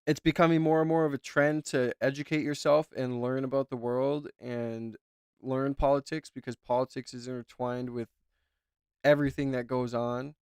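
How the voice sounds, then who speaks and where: A man's voice: mid-range voice, flat delivery, moderate pace, darkish tone, clear, resonant tone, dry recording, studio quality. A man commenting as a YouTuber, talking in a rambling, emphatic way and repeating himself. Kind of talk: casual reaction commentary.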